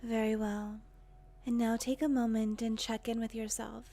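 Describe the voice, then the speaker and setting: A woman leading a guided meditation in a gentle, breathy voice with long, unhurried pauses. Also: on the high side, flat delivery. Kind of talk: guided meditation, soothing narration.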